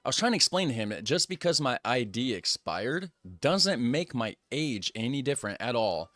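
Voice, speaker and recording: A man's voice: mid-range voice, very animated, moderate pace, a bit bright, dry recording. A man from the United States hosting a reaction video and telling an exasperated story in casual talk.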